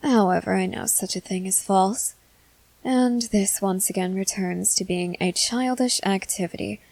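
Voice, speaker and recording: A woman plays a haughty, self-possessed schoolgirl in an ASMR roleplay. She speaks formally and deliberately at a medium pitch, with a sardonic edge. The sound is dry and close, with no room echo. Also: creaky, darkish tone. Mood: disdainful, musing. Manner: formal, articulate, measured speech with deliberate pauses. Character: composed, haughty junior high schoolgirl, amused and sardonic. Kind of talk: scripted ASMR roleplay monologue.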